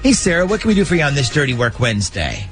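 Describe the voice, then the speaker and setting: A man hosting a radio morning show, bantering in a lively way around a prank call. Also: mid-range voice, rapid-fire. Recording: loud music bed behind voice.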